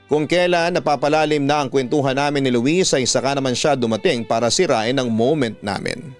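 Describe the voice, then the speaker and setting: A man's voice: mid-range voice, dry recording, studio quality. A man narrating a scripted first-person love story as the host of a storytelling program. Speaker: host reading listener love stories.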